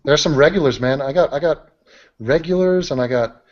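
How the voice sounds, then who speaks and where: A man's voice: a little high, brisk. Muffled, teasing man hosting banter. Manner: teasing, sweary. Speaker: host of an online chat show. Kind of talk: casual group banter. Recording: muffled online call, other voices behind.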